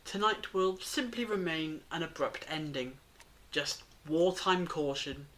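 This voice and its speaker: Actress doing a calm knowing woman in an audio drama, lowish voice, measured and reassuring, bit mysterious. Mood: reflective.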